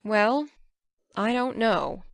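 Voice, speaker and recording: Casting: actress as no-nonsense middle-aged farm spinster, scolding, animated delivery, close dry recording. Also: female voice, on the high side, very slow, creaky, no echo, exaggerated voice. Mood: measured, hesitant. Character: stern, brisk, practical middle-aged spinster farm woman. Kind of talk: audiobook dramatic reading.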